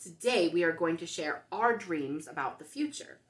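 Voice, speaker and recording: A woman's voice: fairly low pitch, lively intonation, normal speed, reverberant, lightly acted. A woman reading a picture book aloud as a female schoolteacher addressing her class of young children. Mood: instructive.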